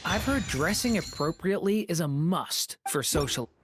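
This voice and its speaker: A woman voicing a polite, gentle young boy in a video game, earnest and curious. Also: fairly low pitch, lively intonation, normal speed, background noise, lightly acted.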